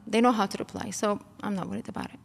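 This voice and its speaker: A middle-aged woman speaks with a gravelly, fry-edged voice, plainly and then with mounting force. Her words ring out with a long reverb, as if in a large hall. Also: medium pitch, fairly even intonation, very fast. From Kuwait. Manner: impassioned, rising to emphatic outbursts. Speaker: interview guest. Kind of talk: emotional interview.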